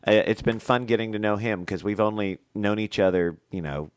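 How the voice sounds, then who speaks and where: A man's voice: on the low side, fairly quick, smooth, no room sound. A man in his thirties co-hosting a casual podcast, congested from a head cold and rambling through many fillers. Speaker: podcast co-host. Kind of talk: casual chat.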